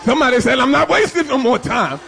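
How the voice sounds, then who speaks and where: A man's voice: very high-pitched, lively intonation, fast talker, creaky, a bit bright, soft background music. A man preaching a fiery sermon, exhorting his congregation with commands and repeated refrains. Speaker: pastor. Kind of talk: shouted call-and-response sermon.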